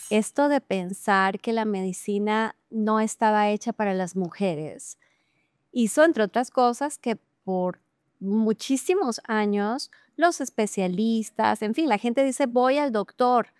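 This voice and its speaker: A woman's voice: medium pitch, slow pace, a little mellow, clean tone, no room sound, clean studio sound. A middle-aged woman hosting a podcast interview, effusive and enthusiastic. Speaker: podcast host. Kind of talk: interview conversation.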